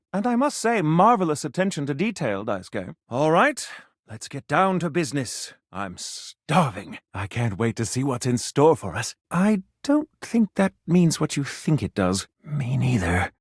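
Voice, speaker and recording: A pompous gourmet critic for a video game. His delivery is florid and theatrical, with very animated pitch and cultured diction, relishing each word like a true romantic. The recording is close and dry. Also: no room sound, heavily acted. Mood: fussy, eager. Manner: florid, grandiloquent, theatrical, savoring words. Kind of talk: video game character voice acting.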